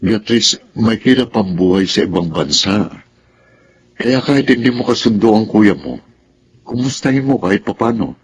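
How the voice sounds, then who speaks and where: An actor with a Filipino accent playing a caring, gently nagging middle-aged father in a radio drama. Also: lowish voice, lively intonation, normal speed, slight background noise, close to an everyday voice. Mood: concerned, fatherly.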